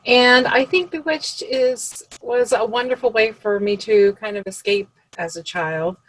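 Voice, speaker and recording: A woman from Seattle, introducing herself as a fan guest with a gentle, humorous touch. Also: fairly high pitch, a little slow, rough recording. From Seattle, USA. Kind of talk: casual self-introduction.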